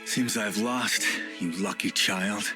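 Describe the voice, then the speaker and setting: A man's voice: lowish voice, takes it slowly. An actor playing a smug, cruel tiger villain in a video game, grandiose and playful, savouring his words. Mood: theatrical resignation. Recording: loud music behind voice.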